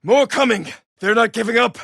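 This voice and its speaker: An actor playing an honourable, stoic samurai warrior in a video game, speaking in a measured, solemn way with short, clipped sentences. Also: male voice, extremely high pitch, gravelly, a bit bright, no room sound, studio quality, full character voice. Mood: urgent, commanding. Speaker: video game lead character. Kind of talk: dramatic video game voice acting.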